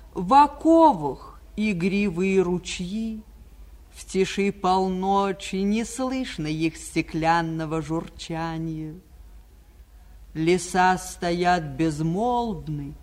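An actress playing a stately, tender spirit of spring, declaiming lyrical verse in a 1940s radio fairy tale. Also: female voice, medium pitch, a little mellow. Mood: solemn, sorrowful.

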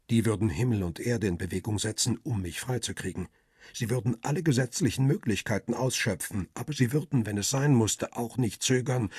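Husky, low-voiced jailed crime-drama narrator. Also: male voice, no room sound, studio quality. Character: jailed male paparazzo narrating his ordeal, wary, uneasy. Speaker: narrator and lead actor. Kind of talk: radio crime drama, first-person narration.

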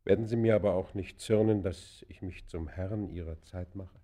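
An actor playing a penitent, brooding criminal in a classic radio play, speaking formal, solemn with halting stage delivery. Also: male voice, low voice, steady tone, mellow. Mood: confessional, brooding. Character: penitent male criminal confessing his life, earnest and brooding. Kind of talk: classic German radio play acting, literary and declaimed.

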